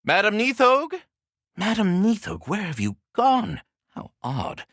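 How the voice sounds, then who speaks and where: An actor playing a fussy, verbose, very polite talking squirrel in a video game, with ornate formal diction and theatrical courtesy. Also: male voice, high-pitched, huge pitch swings, slow pace, a bit bright, no room sound, studio quality, heavily acted. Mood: worried, indignant. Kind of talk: video game character acting.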